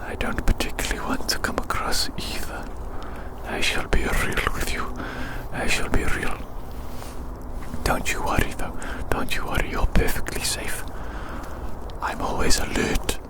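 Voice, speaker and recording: An ASMR YouTuber, whispering a rambling monologue in a breathy, unvoiced voice and softly repeating phrases. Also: measured pace, poor audio. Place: outdoors in winter woods.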